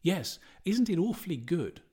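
An eager middle-aged man from an audiobook novel, voiced by a male narrator. He is a little awkward and keen to please, pitched slightly high. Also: sing-song, moderate pace, dark tone, slightly rough, exaggerated voice.

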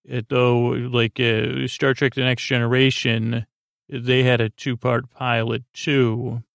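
Goofy guy rambling on a sleep podcast, lots of vocal fry, dry close sound, keeps trailing off. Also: medium pitch, flat delivery, fairly bright tone, no echo. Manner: meandering, halting, trailing off and repeating himself; goofy, whimsical, self-deprecating. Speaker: podcast host. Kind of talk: rambling, unscripted bedtime podcast monologue.

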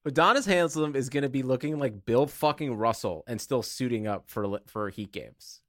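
A man talks sports casually as a podcast co-host. His voice sits at a middle pitch, recorded dry and close, and his wording is loose, slangy and sweary. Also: animated, normal speed, no room sound. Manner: informal, sweary, bro-like slang.

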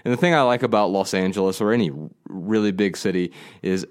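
A man in his thirties with a fairly low voice, a touch nasal because he is fighting off a cold. He co-hosts a relaxed podcast, talking at length and circling back with restarts and fillers. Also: brisk, clean tone. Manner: rambling, with frequent restarts and fillers. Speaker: podcast co-host. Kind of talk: casual podcast chat.

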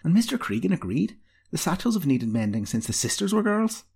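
A man voicing a cheeky, excitable nineteen-year-old female apprentice with a pert, eager, girlish manner. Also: a little high, brisk, gravelly, slightly rough, pitched above the performer's own voice, heavily acted. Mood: petulant, sarcastic. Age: young adult.

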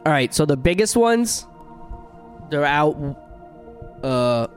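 A man's voice: fairly high pitch, sing-song, slow, soft background music. A man hosting a podcast, explaining things earnestly and laughing easily. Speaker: podcast host. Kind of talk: casual chat.

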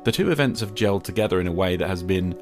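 A man speaking as a podcast host in a reflective scripted monologue, with an earnest, measured manner. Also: deep voice, lively intonation, over music.

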